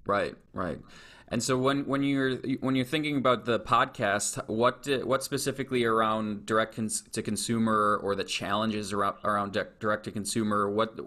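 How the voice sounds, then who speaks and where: A man's voice: fairly low pitch, fairly even intonation, normal speed, pushed and bright. A man hosting an interview podcast, reading a scripted intro and then asking loose, restarting questions. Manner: restarts and repeats words in questions. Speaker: podcast host.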